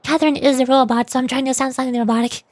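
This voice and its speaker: High-voiced playful woman streamer. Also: little pitch movement, racing, no room sound. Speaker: streamer voice acting game dialogue. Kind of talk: casual livestream chat. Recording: music behind.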